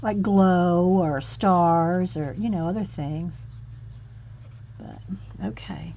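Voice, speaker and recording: A woman hosting a casual painting livestream, chatting with viewers and muttering soft asides while she works at a table. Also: lowish voice, slow, gravelly, highs cut off. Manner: chatty, reads and answers viewer comments. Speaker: art livestream host. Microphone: overhead camera on hands and page.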